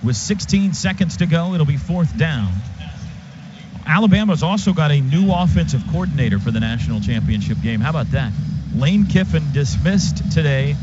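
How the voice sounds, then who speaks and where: An American man doing live radio play-by-play of football, in clipped phrases during play and conversationally between plays. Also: on the high side. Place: stadium radio booth. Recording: dull, noisy live stadium radio broadcast.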